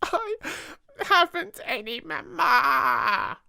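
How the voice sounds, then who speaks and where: A man's voice: very high-pitched, sing-song, extremely slow, vocal fry, sharp tone, no room sound, clean studio sound, voice pushed up, exaggerated voice. A man reading a storybook, voicing a small spoiled girl who wails and sobs in a whiny, tearful voice. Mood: wailing. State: sobbing, wailing.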